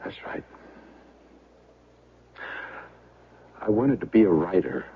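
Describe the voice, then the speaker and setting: An actor playing a self-pitying accused man in a radio drama, speaking in a halting, stammering, troubled way. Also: low-pitched, sing-song, slightly rough, exaggerated voice. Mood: dejected, ashamed. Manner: halting, stammering, agitated then dejected. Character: defeated, self-pitying accused man, a failed small-town bookseller. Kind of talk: 1970s radio drama acting. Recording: tinny, band-limited old radio recording.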